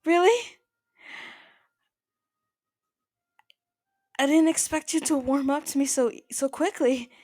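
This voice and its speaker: A woman playing an older mafia boss in an ASMR roleplay, soft-spoken, possessive and quietly menacing, with a halting, intimate delivery. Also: high voice, no room sound, studio quality, close to an everyday voice. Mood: tentative, hopeful. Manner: halting, stammering, intimate address to the listener.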